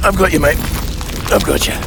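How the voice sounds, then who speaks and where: An actor playing a tough, defiant soldier in a video game, blunt and gritty in manner. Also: male voice, a little high, brisk, vocal fry, heavy background noise. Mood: reassuring. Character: tough, defiant male soldier, loyal comrade. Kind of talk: video game cutscene acting. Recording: very loud game music behind.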